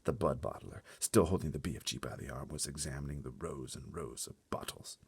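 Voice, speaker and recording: A man's voice: rumbling low, brisk, slightly rough, no echo, clean studio sound. A man reading a children's story aloud expressively, with dramatic delivery and brief playful asides. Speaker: story reader.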